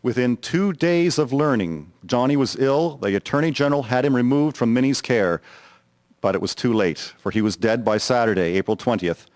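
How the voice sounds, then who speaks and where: A man giving a solemn, measured scripted narration of a historical drama. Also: mid-range voice, animated, darkish tone, dry recording.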